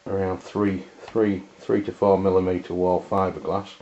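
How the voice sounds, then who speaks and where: A man with an English accent and a very low voice talks through a hands-on review. His delivery is flat and unscripted, with plain wording and frequent hesitations. The sound is dull and lo-fi, as from a handheld camera's microphone. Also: a little hiss or hum. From England. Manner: hesitant, halting, plain-spoken. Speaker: amateur radio reviewer. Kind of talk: unscripted product review demonstration.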